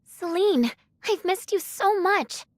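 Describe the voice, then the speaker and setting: A woman voicing a gentle, reassuring young woman with a polite, earnest manner in an English anime game. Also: very high voice, lively intonation, slow, dry recording, studio quality, exaggerated voice. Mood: emotional. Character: gentle, reassuring young woman returned home after suffering.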